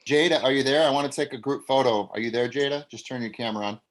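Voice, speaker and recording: A man's voice: mid-range voice, fast talker. A man leading a table read over a video call, blunt and coach-like in manner.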